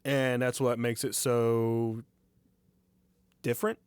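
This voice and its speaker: A man's voice: mid-range voice, little pitch movement, slow pace, clear, resonant tone, no room sound. A young man as a podcast guest, talking in a laid-back way and trailing off. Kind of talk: casual chat.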